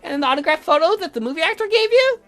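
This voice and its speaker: A woman's voice: very high-pitched, animated, fast talker, soft background music, voice pushed up. A woman voicing a bossy, teasing young female best friend in a visual novel, theatrical and gushing. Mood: teasing, curious. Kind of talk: visual novel read aloud in character.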